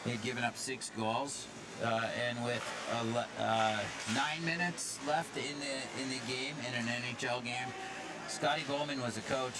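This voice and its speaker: A man doing relaxed color commentary at an ice rink, drifting from story to story with plenty of fillers and false starts. His voice sits in an ordinary middle pitch, and loud arena ambience fills the background. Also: normal speed, very noisy. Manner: rambling, storytelling, many fillers and restarts. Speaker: hockey commentator.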